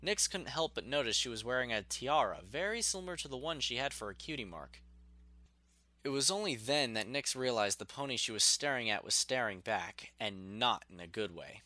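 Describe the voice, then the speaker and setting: A man's voice: medium pitch, expressive, moderate pace, sharp tone. A man narrating a scripted story expressively, in the manner of an audiobook reader.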